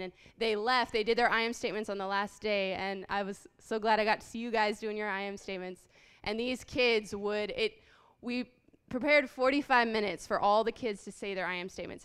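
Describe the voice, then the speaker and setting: A woman's voice: on the high side, fairly bright tone, smooth. A woman in her late twenties, from Haiti, telling a heartfelt personal testimony as a guest speaker, earnest and full of small ums. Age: late 20s.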